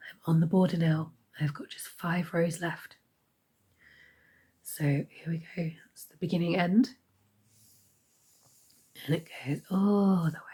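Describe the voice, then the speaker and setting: A woman giving a casual crafting update, hoarse and half-whispering as her voice fails. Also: sits low, dark tone. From United Kingdom. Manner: half-whispered, croaky delivery. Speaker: crochet vlogger.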